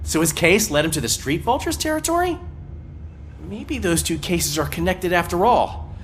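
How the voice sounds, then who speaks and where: A woman's voice: fairly low pitch, sing-song, normal speed, a little hiss or hum. An actress playing a loyal, concerned policewoman in a video game, plain-spoken and wry. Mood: focused concern.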